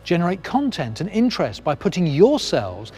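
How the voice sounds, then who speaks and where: A man delivers scripted promotional narration in a slightly high voice that moves up and down with plenty of animation. His phrasing is polished and persuasive, leaning on key words for emphasis. Music plays along with him. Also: wildly swooping pitch, moderate pace, a little mellow. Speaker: presenter of a car sales training film.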